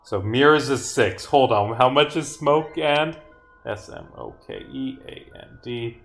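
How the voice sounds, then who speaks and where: A man live-streaming his own game, reading dialogue aloud and rambling as he thinks out loud, with some swearing. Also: mid-range voice, unhurried, gravelly, faint music behind.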